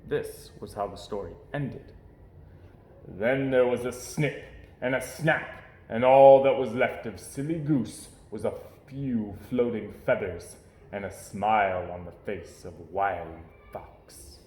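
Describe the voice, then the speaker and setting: A man narrating a children's picture book aloud in an expressive storytelling style. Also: on the low side, slow. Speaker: storybook reader. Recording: roomy, echoey indoor sound.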